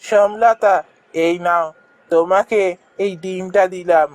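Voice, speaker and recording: A performer voicing a sympathetic, magical male bird in a fairy-tale cartoon, speaking in a kindly, measured way. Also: mid-range voice, fairly even intonation, natural voice. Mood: kindly, solemn.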